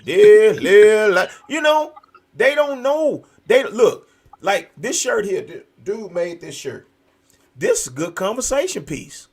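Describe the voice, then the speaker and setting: An American man hosting a talk show, speaking in an animated, preacher-like conversational way, emphatic and often repeating phrases for effect. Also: high-pitched, a little slow, breathy edge. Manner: emphatic, repetitive. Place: virtual video show.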